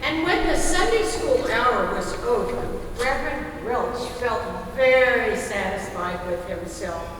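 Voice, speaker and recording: A woman telling stories in a staged readers theater with a dramatic, emphatic delivery. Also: fairly high pitch. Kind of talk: staged storytelling. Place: large reverberant hall, live performance. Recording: distant mic, echoey hall, faint noise.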